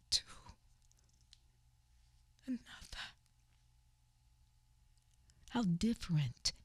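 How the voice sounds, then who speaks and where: A woman's voice: lowish voice, darkish tone, husky, no echo. A woman narrating a family memoir in a radio play, somber and often sinking to a whisper. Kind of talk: dramatic radio theatre narration.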